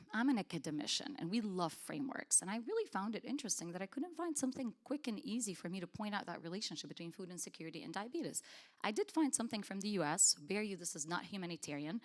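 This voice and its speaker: A fairly low-voiced woman from Lebanon presenting at a conference, speaking into a podium microphone. She talks in an earnest, emphatic way, pressing on key words and often restarting her sentences. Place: conference hall.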